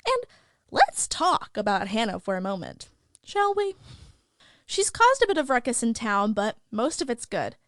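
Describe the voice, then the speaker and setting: A woman's voice: fairly high pitch, big pitch swings, measured pace, a bit bright, no echo, studio quality. A woman playing a gossipy, opinionated young school news reporter, chatty and sardonic, delivering scripted podcast news. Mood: chatty, upbeat. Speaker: host of a school news show.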